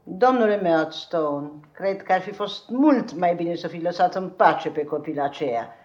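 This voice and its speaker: A woman's voice: on the low side, darkish tone, close to an everyday voice. Brusque elderly great-aunt, played by a woman, dull old recording. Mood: brisk, formal. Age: older. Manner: brusque, commanding, dryly sarcastic. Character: stern, blunt elderly English great-aunt, protective. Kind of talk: 1950s radio theatre acting. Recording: dull 1950s radio archive recording.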